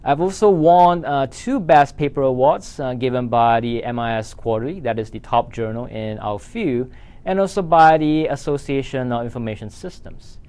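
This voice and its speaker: Male course instructor, Singaporean accent, mid-pitched voice, measured and explanatory lecture delivery. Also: darkish tone, clear, resonant tone. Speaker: university instructor.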